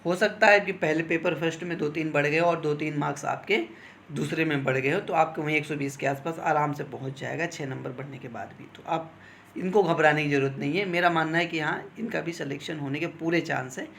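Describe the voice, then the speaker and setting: A man with a slightly high voice talks like a coaching teacher. He explains things informally and instructively, pausing to check in with his listeners. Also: gravelly, a bit bright, a little hiss or hum. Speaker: exam coaching teacher advising candidates. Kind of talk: informal explanatory talk on exam cut-offs.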